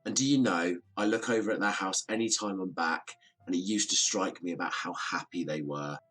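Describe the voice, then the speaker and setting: A reserved, lonely bachelor in an audio drama. His voice is low-pitched and rough-edged. He comes across as wistful and diffident, quick to put himself down. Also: fairly bright tone, rough recording. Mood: reflective. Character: lonely, reserved bachelor neighbour, self-deprecating, hard-working.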